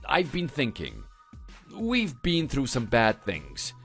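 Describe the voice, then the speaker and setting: A man's voice: mid-range voice, huge pitch swings, takes it slowly, a bit bright, soft background music, full character voice. A man playing a regretful, pleading husband in a radio drama, speaking earnestly. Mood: apologetic. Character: pleading, regretful husband begging his wife back. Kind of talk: dramatized story dialogue.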